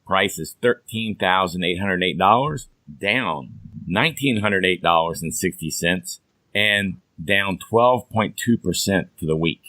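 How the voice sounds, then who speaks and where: A man hosting a casual podcast, a little hoarse, chatty and upbeat in a folksy way. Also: low voice, unhurried, bright, forceful tone. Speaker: podcast host. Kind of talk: casual chat.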